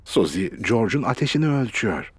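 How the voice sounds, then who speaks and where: A man narrating a children's cartoon in a clear, expressive storytelling voice. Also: medium pitch, fairly quick, dry recording. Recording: music playing under the voice. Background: children's cartoon music.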